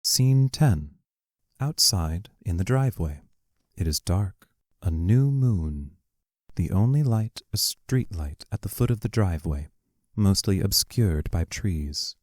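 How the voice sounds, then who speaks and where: A man reading scripted scene directions for an audio play in a measured, descriptive manner. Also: deep voice, animated, darkish tone, dry recording, studio quality. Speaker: stage directions reader.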